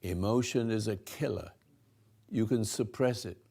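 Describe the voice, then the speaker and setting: An older man slowly giving a rambling spiritual talk to a room, halting and restarting, swinging between soft and loud. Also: fairly low pitch, gravelly. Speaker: spiritual teacher hosting a talk.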